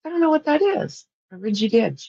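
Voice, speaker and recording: A woman's voice: a little high, very animated, a little fast, creaky, dark tone, slightly rough, no echo. Chatty Canadian woman, slightly muffled. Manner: rambling, chatty, laughs often. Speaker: livestream host. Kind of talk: casual chat with live viewers.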